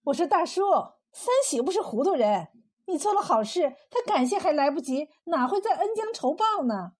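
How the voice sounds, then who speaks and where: A woman's voice: sits high, very animated, moderate pace, darkish tone. A woman storyteller voicing a kind, outspoken village wife who speaks in earnest exclamations. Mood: eager, reassuring.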